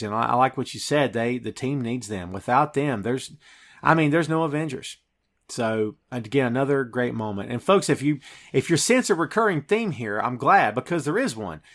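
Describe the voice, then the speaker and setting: Man, podcast host, casual film review, enthusiastic, mid-pitched. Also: expressive, brisk, fairly bright tone. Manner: enthusiastic, recapping scenes with a laugh.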